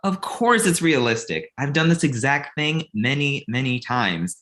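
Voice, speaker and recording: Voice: mid-range voice, expressive, measured pace, fairly bright tone. An actor playing a glib, self-absorbed amateur male film director in a comedy table read, breezy and confident. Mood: defensive, breezy.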